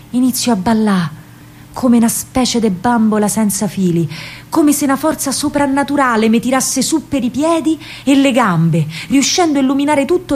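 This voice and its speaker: An actress plays a young Roman woman telling her own story in a radio drama. She is spirited and dreamy, longing to be free. Her delivery is theatrical and expressive, with dramatic pauses and a marked Roman accent. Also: fairly high pitch, breathy edge. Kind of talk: theatrical first-person monologue, radio drama.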